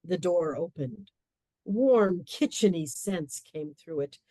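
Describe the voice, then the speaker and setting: A woman narrating a comic period radio play with measured, wry storytelling. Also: fairly low pitch, animated, warm tone, no room sound.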